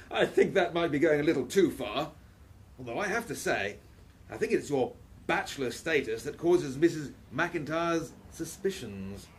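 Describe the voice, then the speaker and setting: A man playing a genial, well-mannered gentleman uncle and inventor in a period radio play. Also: on the high side, sing-song, a little slow. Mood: amused. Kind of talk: audio drama acting. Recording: clearly noisy background ambience.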